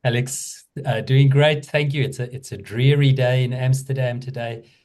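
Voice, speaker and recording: A middle-aged man with a South African accent, speaking as a guest in a conversational interview, measured and thoughtful, with frequent fillers. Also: medium pitch, fairly even intonation, darkish tone. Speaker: guest, theology professor.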